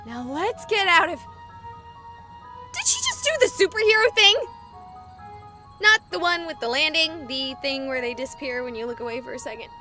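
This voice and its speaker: A woman's voice: high voice, expressive, moderate pace, long pauses, bright, forceful tone, soft background music. An actress voicing a skeptical, exasperated young female game streamer in a visual novel. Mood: exasperated, brisk.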